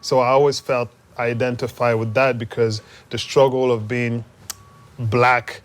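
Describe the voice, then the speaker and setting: A man's voice: medium pitch, flat delivery, measured pace. A young man from Montreal, a musician speaking loosely and conversationally in an interview, with plenty of fillers. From Montreal, Canada. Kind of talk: casual interview reflection.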